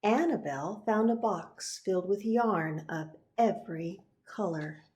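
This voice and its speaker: A woman narrating a children's picture book, expressive and deliberate, pausing for emphasis. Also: medium pitch, slight background noise. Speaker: reverend reading a picture book.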